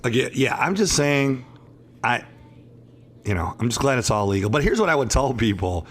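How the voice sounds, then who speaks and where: Hoarse, rambling middle-aged man host. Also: medium pitch, expressive, moderate pace. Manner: rambling, emphatic, self-assured. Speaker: attorney and show host. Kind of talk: casual radio talk show chat.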